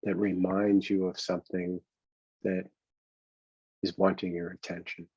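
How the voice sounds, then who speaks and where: A man with a husky voice leads a guided meditation as a coach, speaking gently and conversationally, unhurried and halting, with long pauses. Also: sits low, flat delivery. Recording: dull, boxy, roomy lo-fi sound.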